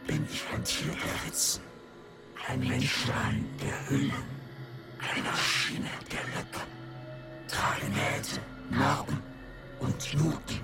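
A slow, solemn, androgynous machine-creature. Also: mid-range voice, creaky. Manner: declamatory, slow, fragmented phrasing with weighty pauses. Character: androgynous oracular machine-creature of memory and gaps, solemn, grandiose. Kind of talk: poetic radio drama monologue. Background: prominent music.

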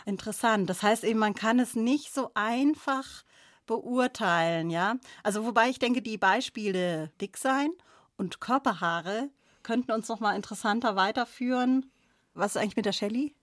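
A woman's voice: on the high side, normal speed, no echo, clean studio sound. A woman co-hosting a discussion, opinionated and questioning, often ending points with a checking "ja?". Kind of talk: discussion talk.

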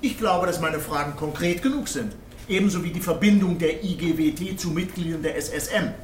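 Echoey radio play courtroom, guy playing stern prosecutor, highish male voice, formal and cutting. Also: fairly quick, lightly acted. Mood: insinuating. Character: stern, insinuating male public prosecutor, indignant when challenged. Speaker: public prosecutor in a radio drama. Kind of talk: courtroom radio drama acting. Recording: roomy courtroom echo, faint noise.

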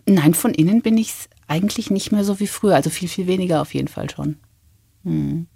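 Husky-voiced German presenter, chatty and laughing. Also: female voice, lowish voice, a little fast, mellow, dry recording, studio quality. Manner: laughs often, chatty with frequent restarts. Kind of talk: casual interview conversation.

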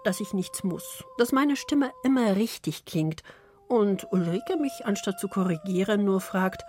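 A woman from Poland, Polish native, narrating with a Polish accent in a soft, slow, intimate read. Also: medium pitch, expressive, soft background music. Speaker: radio author and narrator. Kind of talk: scripted reflective narration.

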